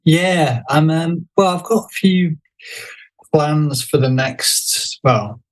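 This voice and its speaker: A man's voice: a little high, takes it slowly. A man chatting casually as an interview guest, hesitant and musing as he talks. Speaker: podcast guest, graphic designer. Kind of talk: casual chat.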